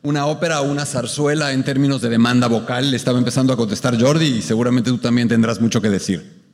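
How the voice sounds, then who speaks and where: Man, Mexican accent, panel interviewer, medium pitch, long hall reverb. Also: steady tone, quick pace, a little mellow. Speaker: moderator and music critic. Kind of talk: interviewing on a post-show panel.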